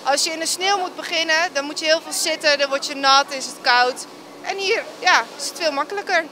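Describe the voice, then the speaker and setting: A woman's voice: high-pitched, fairly quick, sharp tone, a little hiss or hum. A woman ski instructor explaining the lessons plainly in an interview. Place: indoor ski hall.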